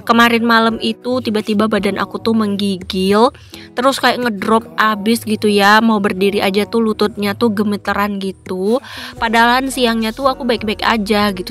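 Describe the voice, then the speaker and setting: Lady vlogging while she cooks, nasal stuffy cold voice, lots of um's, soft music under it. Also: mid-range voice. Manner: chatty, many fillers. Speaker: vlogger, housewife. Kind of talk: casual cooking vlog chat.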